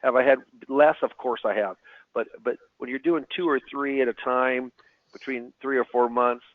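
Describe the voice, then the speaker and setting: A man's voice: medium pitch, fairly even intonation, no echo. A man answers webinar questions as a financial advisor. He stumbles and repeats words as he talks. His voice comes through a tinny phone line with a dry sound. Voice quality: halting, repeats words often.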